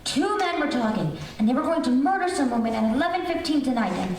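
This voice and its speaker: A woman's voice: high-pitched, big pitch swings, fast talker, a little mellow. A woman playing an anxious, demanding invalid wife on the telephone in an old-time radio drama, imperious and fussy. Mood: agitated, insistent. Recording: distant echoey hall, faint noise.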